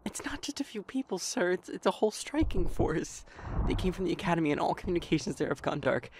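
A man's voice: sits high, big pitch swings, fast. Junior officer role, male actor: worried, respectful, urgent and stumbling over words, noisy backdrop. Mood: anxious urgency. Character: anxious, deferential military subordinate reporting to a ruler. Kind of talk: dramatic audio roleplay.

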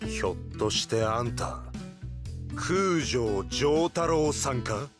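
A man voicing a brash, excitable teenage delinquent in an anime game, dramatic and roughly casual in speech. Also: mid-range voice, slow, music in the background. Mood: puzzled.

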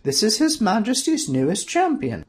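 A man voicing a sly, humble young court woman in a high, polite falsetto. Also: huge pitch swings, moderate pace.

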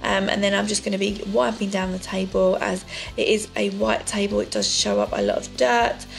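A woman's voice: medium pitch, animated, normal speed, gravelly, rough recording. A woman, chatting casually through a clean-with-me voice-over, with lots of ums. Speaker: vlogger. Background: soft background music.

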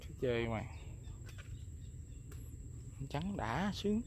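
Excited man narrating his fishing trip. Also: medium pitch, slow, slight background noise. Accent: Southern Vietnamese. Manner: excited, exclaiming, repeating words. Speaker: fishing vlogger. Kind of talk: casual running commentary while fishing. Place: outdoors on a river.

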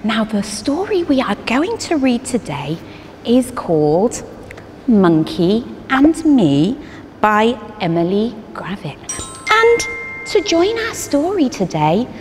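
A middle-aged woman reading a picture book aloud to young children, in a sing-song, animated way with dramatic gasps, seated in a studio. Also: fairly high pitch, slow, big-room sound, background noise. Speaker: early years teacher reading a picture book. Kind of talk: children's story time read-aloud. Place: studio with a green-screen backdrop, seated on a sofa.

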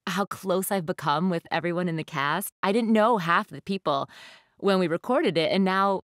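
A woman's voice: on the low side, moderate pace, fairly bright tone, dry recording. A woman speaks as a voice actress addressing players personally. The recording is very dry, with no room around her.

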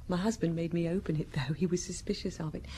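An actress playing a chatty married woman in a radio drama, haltingly reciting from memory. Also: fairly low pitch, steady tone, warm tone, slight background noise, lightly acted. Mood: chatty, recalling.

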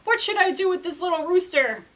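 A greedy storybook king with a short temper, acted out by a woman. The voice is high and forceful, barking imperious orders with loud emphasis. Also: expressive, a little fast. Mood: angry commanding. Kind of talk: dramatic children's storybook reading.

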